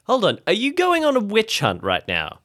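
Casting: man as placating, uneasy nephew for audio drama; hesitant, very animated intonation, close dry sound. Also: high voice, moderate pace, no room sound, voice pushed up, full character voice. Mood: puzzled, placating. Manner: questioning, coaxing, hesitant. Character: tall nephew, anxious, placating, trying to seem non-threatening.

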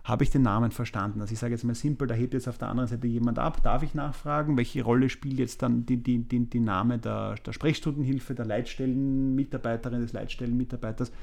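A man's voice: mid-range voice, steady tone, quick pace, gravelly, hardly any pauses, a little mellow. A man hosting a podcast, asking long rambling questions and summarizing the answers. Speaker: podcast host, managing director. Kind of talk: podcast interview.

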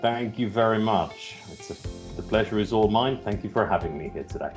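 A man's voice: fairly low pitch, expressive, fairly quick, darkish tone, faint music behind. A middle-aged man with a Finnish accent answering interview questions, hesitating and repeating words as he finds his phrasing. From Finland. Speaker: podcast guest, logistics executive.

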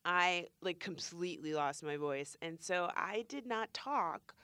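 A woman chatting casually on a vlog, animated and rambling, full of "like". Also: fairly low pitch, measured pace, clean tone, dry recording. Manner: animated, rambling, heavy use of "like". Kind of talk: casual chat.

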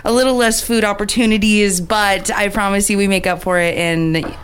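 A woman with a clear, resonant voice works as a radio host. She interviews guests in a friendly studio chat, keeping things informal and encouraging. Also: mid-range voice, fairly even intonation, normal speed, fairly bright tone. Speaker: radio talk show host.

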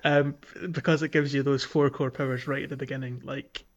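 A man's voice: medium pitch, flat delivery, fairly quick, lo-fi sound. A man co-hosting a podcast, chatting casually and with enthusiasm about a video game. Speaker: podcast co-host.

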